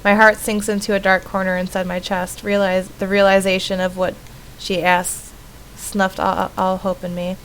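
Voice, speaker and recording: A woman's voice: mid-range voice, level tone, moderate pace, rough recording. A woman reading a visual novel aloud and commenting as she plays. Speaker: streamer playing a visual novel. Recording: faint background noise.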